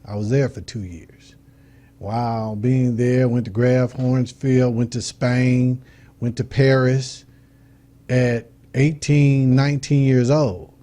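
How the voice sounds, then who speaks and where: Mellow-voiced man. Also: mid-range voice, level tone, slow pace. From Detroit, USA. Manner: informal, earnest, explains with everyday examples. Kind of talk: casual talk-show conversation.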